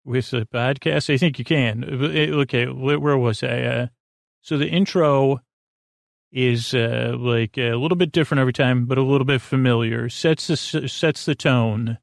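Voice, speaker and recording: A man hosting a sleep podcast with a meandering, goofy, halting monologue that drifts into tangents. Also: mid-range voice, creaky, no echo, clean studio sound. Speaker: podcast host. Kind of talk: rambling bedtime monologue introducing a sleep podcast.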